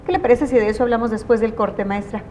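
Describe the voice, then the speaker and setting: A woman's voice: fairly high pitch, steady tone, fast, dark tone, a little hiss or hum. A woman with a Mexican Spanish accent hosting an educational TV interview, asking long leading questions in a conversational way. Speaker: TV show host.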